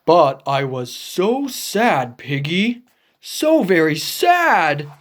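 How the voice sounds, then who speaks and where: A woman voicing a big, emotional, needy male elephant from a children's picture book, with dramatic, drawn-out delivery. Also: fairly low pitch, sing-song, voice pushed down, full character voice. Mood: sorrowful.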